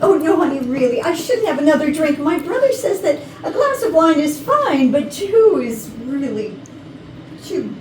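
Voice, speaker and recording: A woman's voice: high voice, very animated, moderate pace. A woman playing a sweet, plaintive and flirty wife of a company boss in a staged comedy reading. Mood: coy. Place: rehearsal room. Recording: echoey room, distant mic, faint noise.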